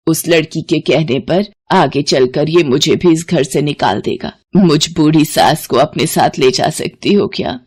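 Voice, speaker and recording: A woman's voice: sits low, slightly rough, pitched below the performer's own voice. A woman voicing an elderly Indian mother-in-law in a family storybook tale, firm and plain-spoken, stern but protective. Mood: resolute, sad.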